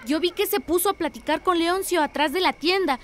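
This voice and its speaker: A woman's voice: very high voice, fairly quick, a bit bright, a little hiss or hum. A woman playing a gushing, lovestruck teenage girl in a radio drama, with a breathless, effusive manner. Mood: pleading, eager.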